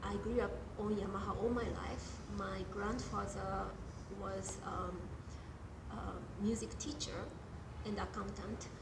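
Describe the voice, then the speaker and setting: A woman with a Japanese accent, a guest jazz pianist, talking casually in an interview and pausing often on ums and uhs. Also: medium pitch, steady tone, gravelly, heavy background noise. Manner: hesitant, many ums and uhs. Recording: echoey room with music behind.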